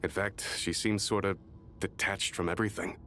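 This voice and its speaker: Rattled, bitter low-voiced soldier. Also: male voice, steady tone, normal speed, a bit bright, slight background noise. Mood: uneasy, confiding. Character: rattled, bitter male enemy soldier, grudgingly confessing. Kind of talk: video game voice acting. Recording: music behind the voice. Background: game music.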